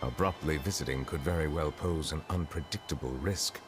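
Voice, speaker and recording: An ancient dragon judge in human form from a video game. The voice is deep and solemn, with formal, reflective phrasing over clear background music. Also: male voice, brisk. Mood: grave. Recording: game dialogue with music behind.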